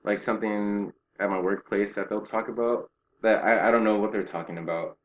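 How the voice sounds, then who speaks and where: A young man chatting casually as an interview guest, peppering his talk with "like" and quick laughing asides. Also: on the low side, steady tone, muffled recording. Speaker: guest, Japanese learner working in Japan. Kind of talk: casual conversation.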